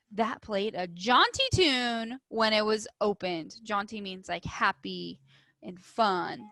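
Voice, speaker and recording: Bright-voiced, unhurried woman teacher reading aloud. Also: mid-range voice, no room sound. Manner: emphatic, instructive, addressing children. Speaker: elementary school teacher. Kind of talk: expressive storybook read-aloud with questions to pupils.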